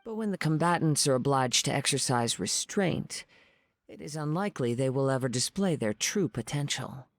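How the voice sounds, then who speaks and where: A man playing a calm, reflective young wandering swordsman in a video game, measured and formal. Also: mid-range voice, dry recording, studio quality, lightly acted. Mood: pensive. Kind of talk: video game character dialogue. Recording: faint music behind the voice.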